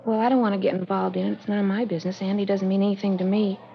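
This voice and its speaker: A woman acts out a defensive young American woman under questioning in a vintage radio play. She talks fast and insistently, with a noticeable creak in her voice. The old recording is dull and noisy. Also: medium pitch, level tone, close to an everyday voice. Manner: guarded, insistent. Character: defensive, evasive young woman, convict's former fiancée. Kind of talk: 1940s-50s radio drama acting.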